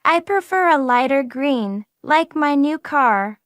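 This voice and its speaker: A woman reading a friendly everyday speaker in a slow, carefully spaced practice dialogue for English learners. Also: high-pitched, animated, a bit bright, no echo, clean studio sound, full character voice. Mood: plain.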